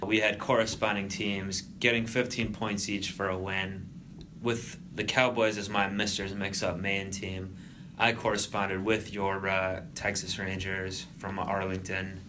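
A man's voice: sits low, level tone, a little slow, noisy background. A young man hosting a casual sports podcast, normally laid back but trying to bring extra energy. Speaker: podcast host.

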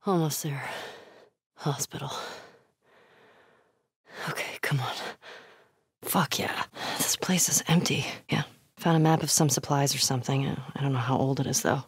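A woman's voice: very deep voice, steady tone, normal speed, pauses often, husky, no room sound, exaggerated voice. A young woman playing a tough, foul-mouthed, wry survivor in a video game, muttering in terse, conversational asides. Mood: hushed, tense. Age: young adult. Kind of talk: video game voice acting, naturalistic.

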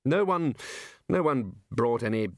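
Man acting a booming, bossy old wizard, lively pitch. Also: a little slow, creaky, no echo. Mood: rallying. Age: older. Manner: pompous, exasperated, takes charge with hesitant ums. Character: booming, bossy elderly male wizard, head of a university.

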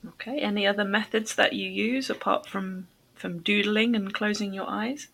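A woman's voice: medium pitch, fairly even intonation, moderate pace, creaky, fairly bright tone, breathy edge. A woman hosting a podcast for English learners, asking questions and rephrasing answers in simple, encouraging language. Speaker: podcast host, English teacher. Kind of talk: interviewing, explaining and rephrasing.

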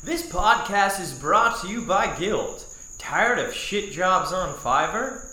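A man's voice: high-pitched, lively intonation, slow pace, sharp tone. A young man with an American accent, co-hosting a podcast with casual chat and ad reads in an echoey room. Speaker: podcast co-host. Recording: roomy, echoey sound.

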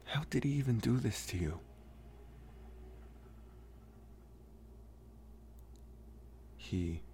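A young man playing a protective bully with a secretly tender side in an intimate ASMR roleplay, speaking softly and haltingly with gruff care. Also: low-pitched, slight background noise, full character voice. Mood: protective anger. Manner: halting, soft-spoken, gruffly caring.

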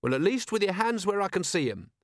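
An earnest police captain, as a male audiobook reader plays him, courteous and unflappable. The voice sits raised in pitch and is recorded dry and close. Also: big pitch swings, fairly quick, no room sound. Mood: earnest, polite. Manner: very polite, level, earnest. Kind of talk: audiobook character voice.

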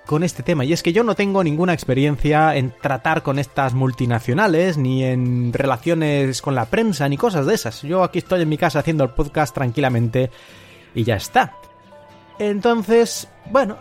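Man, accent from Spain, solo podcast host, slightly high voice, conversational storytelling, music in the background. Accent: Spanish from Spain. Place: at home.

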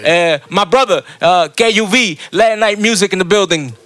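A man's voice: sits high, unhurried, a bit bright. A man hosting a podcast, chatting casually and switching between Spanish and English slang. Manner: laid-back, mixes Spanish and English slang. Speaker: podcast host. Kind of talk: casual bilingual interview chat.

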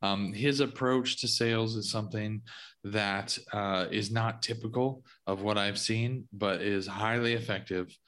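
Guy hosting a podcast, kinda low voice, gushes over his guests. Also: fairly even intonation, unhurried, fairly bright tone. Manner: effusive praise, long winding questions. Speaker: podcast host. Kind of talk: interview hosting.

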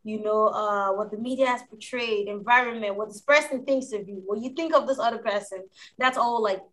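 A woman from Africa, co-hosting a casual discussion, explaining her views in a reflective, measured way. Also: fairly high pitch, fairly bright tone, low-quality recording.

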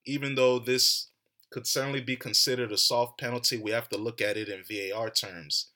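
A young man with an American accent delivers a heated solo soccer rant as a podcast host. His voice is bright and forceful, and his tone turns mocking. He speaks at a middling pitch. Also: steady tone, brisk. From United States. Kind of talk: animated solo soccer commentary.

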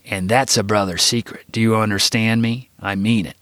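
A man's voice: lowish voice, steady tone, moderate pace, dry recording, lightly acted. A man voicing an injured teenage boy who patiently reassures his little brother. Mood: reassuring. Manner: gentle, reassuring.

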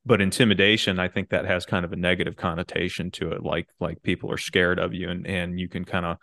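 A man speaking casually on a podcast, reflective and halting, often repeating words as he works through a thought. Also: low-pitched, flat delivery, vocal fry, pushed and bright, dry recording, studio quality. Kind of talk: casual discussion.

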